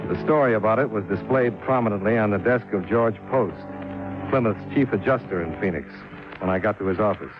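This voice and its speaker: An actor playing a cool, businesslike insurance investigator in a 1950s radio drama, with clipped, matter-of-fact delivery. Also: male voice, fairly low pitch, fairly quick, close to an everyday voice. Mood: brisk, businesslike. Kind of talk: 1950s radio drama acting with first-person narration. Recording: dull 1950s radio recording, music behind.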